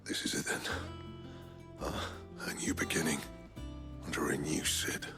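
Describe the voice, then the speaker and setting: Very deep, gruff craftsman. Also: little pitch movement, measured pace. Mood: quiet relief. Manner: curt, weary, grudging. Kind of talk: video game voice acting. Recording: music behind the voice.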